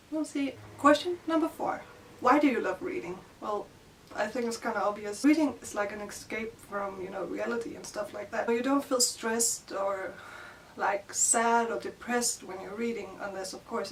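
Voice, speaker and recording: A woman's voice: a little high, recorded from a distance, poor audio. Young woman, Danish accent, shy and hesitant book vlogger, mic set a little back, faint hiss. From Denmark. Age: young adult. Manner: shy, hesitant, many fillers like "like" and "you know". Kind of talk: casual vlog answering tag questions.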